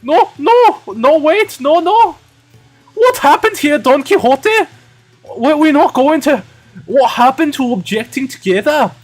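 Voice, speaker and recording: An earnest, flustered young game hero, pitched very high and swooping widely. The voice is strained and emphatic, with an attempted German accent. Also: male voice, animated, unhurried, faint music behind.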